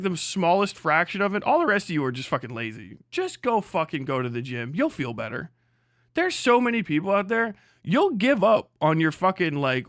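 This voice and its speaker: A man's voice: a little high, fairly bright tone, no room sound, clean studio sound. A man on a casual livestream rant, blunt and hectoring, swearing heavily as he speaks. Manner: mocks others in put-on voices. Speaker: livestream host.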